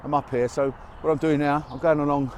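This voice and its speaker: A man's voice: fairly high pitch, fairly even intonation, brisk. Guy vlogging on a country walk, chesty with a cold, bit puffed out, faint traffic hiss behind, chest mic. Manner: chatty, wry, a little out of breath. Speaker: walking vlogger. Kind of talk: casual walk-and-talk narration. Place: outdoors by a busy motorway in winter fields. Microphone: chest-worn mic.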